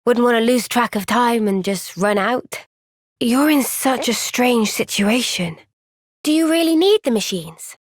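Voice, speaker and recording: A young female warrior from a video game cutscene. Her voice sits fairly high and is recorded very dry and close. She takes her time over her words, sounding earnest, caring and thoughtful. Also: lively intonation, unhurried, dry recording. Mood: conversational.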